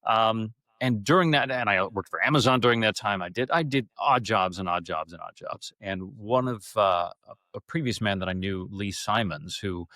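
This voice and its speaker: Animated storytelling man, lowish voice, dry sound. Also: moderate pace, no room sound. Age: middle-aged. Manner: animated, rambling, acts out quoted speech. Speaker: interview guest, actor. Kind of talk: casual conversational storytelling.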